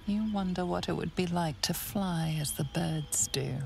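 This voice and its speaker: A woman playing an ominous, hushed narrator of a fantasy video game, with deliberate, mysterious delivery. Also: lowish voice, gravelly. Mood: hushed, eerie. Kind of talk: dramatic second-person game narration. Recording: music behind the voice.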